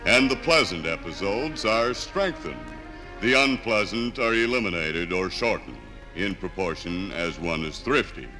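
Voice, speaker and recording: A man playing Iron, a droll, pompous million-year-old piece of talking ore, in a deliberate 1950s radio drama style. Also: low-pitched, lively intonation, sharp tone, heavily acted. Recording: 1950s radio recording from LP, music.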